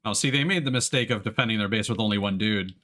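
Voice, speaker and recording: A man's voice: fairly low pitch, fast, pushed and bright. A man doing casual live let's play commentary, exclaiming to himself and thinking aloud with casual swearing. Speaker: game streamer. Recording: game music behind the voice. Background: video game music.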